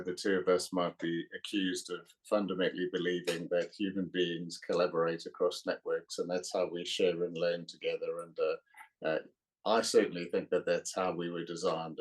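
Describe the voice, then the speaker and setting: A middle-aged man from South Africa with a South African accent, a guest author talking in a podcast interview, pausing often with fillers. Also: low-pitched, flat delivery, rough recording. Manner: hesitant, many uh fillers.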